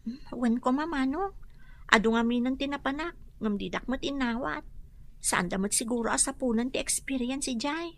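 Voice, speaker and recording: High, bright-voiced anxious housemaid. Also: female voice, moderate pace. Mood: hopeful, earnest. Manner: polite and deferential, pleading when distressed. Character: anxious, deferential young housemaid woman, eager for work. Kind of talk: radio drama acting.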